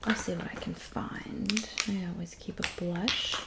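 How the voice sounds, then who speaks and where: A woman playing a kindly, doting witch in an ASMR roleplay, speaking in a soft whisper with gentle, reassuring attention. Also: lowish voice, fairly even intonation, a little slow, heavy background noise. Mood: soothing, attentive. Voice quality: soft whisper with some creaky murmur. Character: kindly cabin witch mixing potions, doting and soothing. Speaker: ASMR performer.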